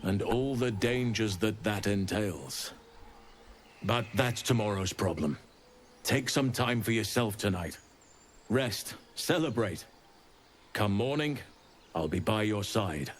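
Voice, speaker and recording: An actor playing a solemn, authoritative archdruid in a fantasy video game, speaking in a measured, formal and stern way. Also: male voice, on the low side, lively intonation, slight background noise. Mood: calm, grateful.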